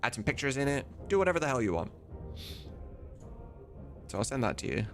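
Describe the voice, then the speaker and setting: A man's voice: medium pitch, very animated, fairly quick. A man streaming as he works on a game mod, talking casually and informally, often muttering to himself. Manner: informal, sweary, muttering to himself while working. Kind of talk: casual livestream work chat. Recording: music playing behind. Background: music, computer keyboard typing.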